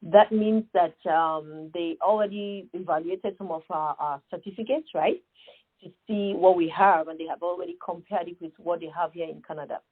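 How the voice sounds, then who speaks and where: A middle-aged woman with a Sierra Leonean accent speaks as an interview guest, emphatic and earnest. Her voice sits low and breathy. The sound is thin and phone-like. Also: moderate pace. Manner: earnest, emphatic, many fillers and rhetorical questions. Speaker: guest, nonprofit founder. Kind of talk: conversational interview answer.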